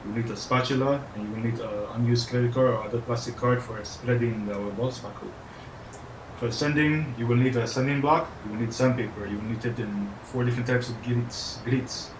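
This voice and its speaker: A man putting on a French accent as he presents an informal how-to tutorial in a hesitant, halting way. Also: mid-range voice, fairly even intonation. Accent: put-on French. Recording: roomy echo, faint background noise.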